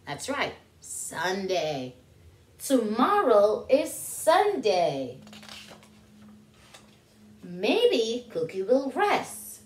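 Slow, animated woman reading to children. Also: mid-range voice. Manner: animated, questioning, stretches out key words for young children. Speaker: children's teacher reading a storybook aloud. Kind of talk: children's story time read-aloud. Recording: roomy indoor echo.

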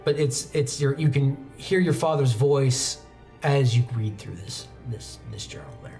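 A young man with a mellow, dark-toned voice runs a tabletop roleplaying game as game master. He tells the story in measured phrases with pauses, and soft music plays behind him. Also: medium pitch. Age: young adult. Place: indoor studio set, seated at a desk. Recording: background music under the voice.

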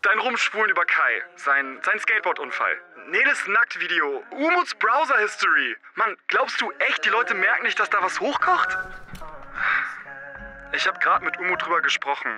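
An actor playing a blunt, prying teenage schoolboy who talks pointedly in an audio drama. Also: male voice, high voice, sing-song, normal speed, sharp tone, soft background music, full character voice. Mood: accusing, probing. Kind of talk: naturalistic audio drama acting.

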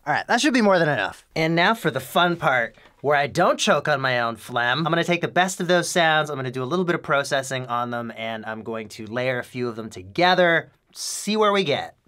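A man's voice: fairly high pitch, animated, a little fast, few pauses, sharp tone. A young man with a head cold, chatting in an upbeat way as he explains his sound design in a home music studio. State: congested with a cold. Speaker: music producer and YouTuber. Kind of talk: casual tutorial vlog.

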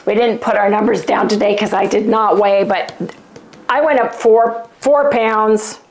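A sick older woman chats folksily to her viewers from her home kitchen, her voice hoarse and gravelly with frequent throat clearing. She talks in a relaxed, homey way, recorded close on a lapel mic. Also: medium pitch, a little slow, low-quality recording. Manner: chatty and folksy, addresses viewers as "you guys". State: sick, losing her voice, coughing. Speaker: keto cooking channel host. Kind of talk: casual vlog chat to viewers.

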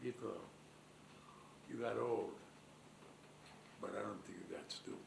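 An actor playing an aging male mobster who talks in a low, clipped, threatening way. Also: steady tone, normal speed, gravelly, echoey room, background noise. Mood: urgent.

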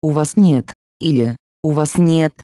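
A speaker slowly repeating short phrases for a language lesson, with careful, deliberate pronunciation. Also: medium pitch, warm tone, no echo, clean studio sound. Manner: slow, deliberate enunciation. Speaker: Russian phrase reader. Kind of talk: slow scripted phrase reading.

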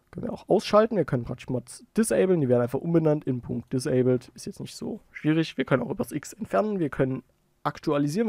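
A man giving a casual software tutorial, informally thinking aloud as he goes. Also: fairly high pitch, no echo, studio quality. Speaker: tutorial host.

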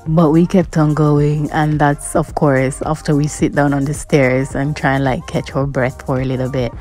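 A woman giving a relaxed, reflective voice-over about a walk. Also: deep voice, moderate pace, soft background music. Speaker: vlogger narrating. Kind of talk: voice-over narration.

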